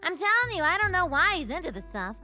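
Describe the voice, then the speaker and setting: Woman playing a bright, streetwise little boy. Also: very high-pitched, big pitch swings, fast, soft background music, exaggerated voice. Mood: confessing. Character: nine-year-old streetwise boy, blunt and worldly. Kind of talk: comedy radio play dialogue.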